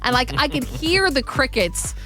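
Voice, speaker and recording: A young adult woman co-hosting a morning radio show, teasing her partner and telling lively stories. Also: high voice, normal speed, soft background music. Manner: teasing, animated storytelling. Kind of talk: casual radio banter. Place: radio studio.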